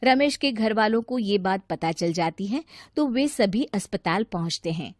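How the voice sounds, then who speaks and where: A woman narrates a scripted moral tale as a storyteller. Her voice is medium in pitch and recorded close and dry. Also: lively intonation, moderate pace, no echo.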